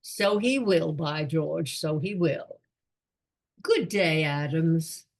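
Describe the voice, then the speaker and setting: Radio comedy role: genial, forgetful elderly aristocrat played by a woman, pompous musings, unhurried delivery, slightly low register. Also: full character voice. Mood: vague, polite. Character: doddering, absent-minded elderly English lord, genial, rambling. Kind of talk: radio play comedy acting.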